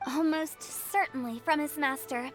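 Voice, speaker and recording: A woman's voice: sits high, measured pace, soft background music. A woman playing a playful, theatrical teenage funeral director in an anime game, teasing in her delivery. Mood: explanatory, breezy. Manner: theatrical, teasing, sing-song.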